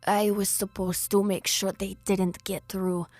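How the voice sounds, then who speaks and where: A woman voicing an eerie old woman who guards cursed portals, speaking in a slow, hushed, raspy whisper. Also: medium pitch, level tone, no echo, lightly acted. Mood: ominous.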